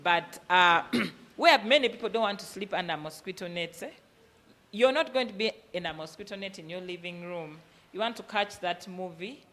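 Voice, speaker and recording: A woman's voice: on the low side, normal speed, bright, forceful tone. A woman with a Ugandan accent speaking as a business workshop presenter, emphatic and instructive, often posing rhetorical questions. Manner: emphatic, asking rhetorical questions and answering them. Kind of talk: motivational business talk.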